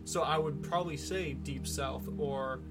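Male podcast host, higher-pitched, off-the-cuff and foul-mouthed, over prominent music. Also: steady tone, a little slow. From Massachusetts, United States. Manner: casual, swearing freely. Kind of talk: casual chat.

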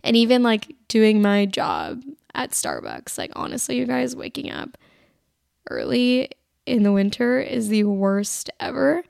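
A young woman with a raspy voice hosting her own podcast, chatting casually and rambling with plenty of "like", tired as she recovers from being sick. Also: a little high, big pitch swings, measured pace, darkish tone, dry recording, studio quality. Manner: rambling, filler-heavy, lots of "like". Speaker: podcast host. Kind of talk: casual solo podcast chat.

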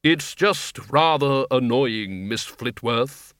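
A man voicing a grave male stranger who speaks slowly and formally. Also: mid-range voice, lively intonation, fairly bright tone, clean tone, no room sound, studio quality. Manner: slow, formal, measured. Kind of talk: audiobook character voice.

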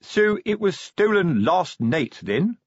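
A man's voice: sits high, sing-song, slow, dry recording, voice pushed up, heavily acted. A man voicing a stout, plodding male watch sergeant who talks earnestly and tries to sound official. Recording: dull lo-fi recording.

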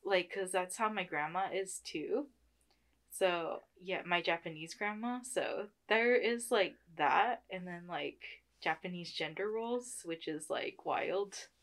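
A woman's voice: on the low side, slow pace, fairly bright tone. A young woman talking casually about personal matters as a podcast guest, informal and slangy, often acting out short quotes. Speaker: podcast guest, staff member.

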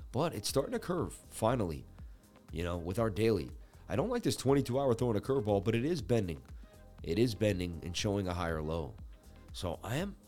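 Low scratchy-voiced man losing his voice. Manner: animated, chatty, talks to live viewers. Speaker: crypto market livestream host. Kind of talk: live chart analysis commentary.

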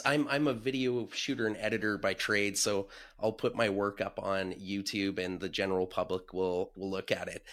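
A man hosting a podcast interview, asking questions in a relaxed, hesitant way. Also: on the low side. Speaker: podcast host.

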